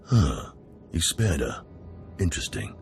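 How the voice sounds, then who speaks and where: Game villain: wry Sith jailer, extremely deep, lively melody, faint music behind. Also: male voice, a little slow, vocal fry, exaggerated voice. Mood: amused, intrigued. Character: older male Sith jailer, wry, contemptuous, amused. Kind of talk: video game cutscene acting.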